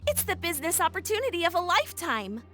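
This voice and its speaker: A woman's voice: very high voice, big pitch swings, fast talker, fairly bright tone, soft background music, exaggerated voice. A woman playing an eager young female researcher in a video game, explaining things in a peppy, salesy way. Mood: keen, determined. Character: eager young female tech researcher pitching her invention. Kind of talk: scripted video game voice acting.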